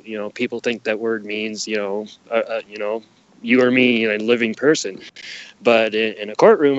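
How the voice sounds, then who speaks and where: A man's voice: mid-range voice, dry recording. A man speaking as a podcast guest, explaining his views emphatically, repeating key phrases and posing rhetorical questions. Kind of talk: conspiracy-minded explanatory interview talk. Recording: dull, lo-fi sound.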